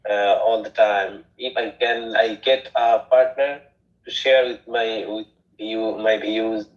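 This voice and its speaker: A man's voice: lowish voice, fairly even intonation, takes it slowly, lo-fi sound. A man from Bangladesh, a language learner, speaking hesitantly in a practice conversation, with many fillers and restarts.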